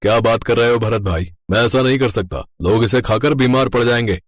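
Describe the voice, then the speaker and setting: A man voicing an honest, gruff truck driver and cook who talks plainly and firmly in a moral story cartoon. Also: medium pitch, brisk, close to an everyday voice. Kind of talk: animated moral story dialogue.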